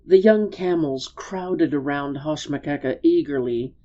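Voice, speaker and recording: A woman narrating a storybook as a dramatic reading, measured and expressive. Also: low voice, highs cut off.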